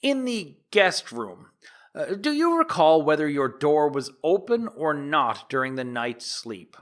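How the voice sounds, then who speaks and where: A man reading the part of a lawyer in a trial transcript, asking measured, methodical questions. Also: a little high, big pitch swings. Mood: questioning.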